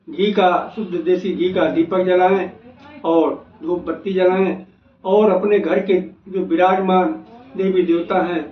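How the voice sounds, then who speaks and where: A man hosting as an astrologer, giving devotional remedy instructions in a deliberate, phrase-by-phrase way. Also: high voice. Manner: deliberate, phrase-by-phrase, repetitive listing. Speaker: astrologer host. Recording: echoey, noisy, dull lo-fi, distant mic.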